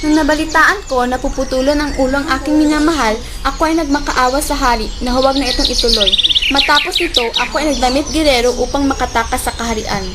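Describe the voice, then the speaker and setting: A woman's voice: high voice, fairly even intonation, fast talker, lightly acted. A woman playing a brave, devoted young warrior maiden in an amateur radio drama, with formal phrasing. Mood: earnest recounting. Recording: noisy homemade recording with birdsong.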